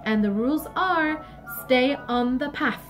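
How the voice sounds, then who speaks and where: A woman's voice: sits high, animated, normal speed, faint music behind. A woman voicing a caring but firm mother laying down rules in a storybook tale. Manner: firm, instructive.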